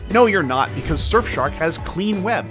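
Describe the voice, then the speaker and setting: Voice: lively intonation, measured pace. A voice actor playing a sly, upbeat male wolf con man in a fan-dubbed comic skit, with a pushy, cheery sales patter. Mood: cheery salesman. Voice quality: salesman patter, wide pitch swings. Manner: pushy and cheery, with a sing-song pitch. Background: background music.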